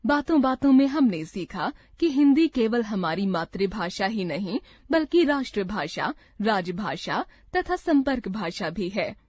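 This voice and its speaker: A woman narrating a scripted grammar lesson from a textbook, reciting rules and example lists in a measured way. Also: mid-range voice, big pitch swings, a little mellow, dry recording.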